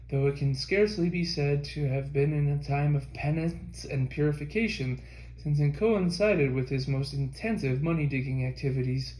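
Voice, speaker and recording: A man reading a history book aloud as an audiobook narrator, in a measured scripted delivery. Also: a little high, a little mellow, echoey room, poor audio. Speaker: audiobook reader.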